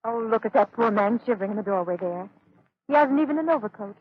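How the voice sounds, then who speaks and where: A woman's voice: medium pitch, expressive, fast talker, breathy edge. Anxious compassionate lady, earnest, dramatic, 1930s radio acting, dull old recording. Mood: horrified, pitying.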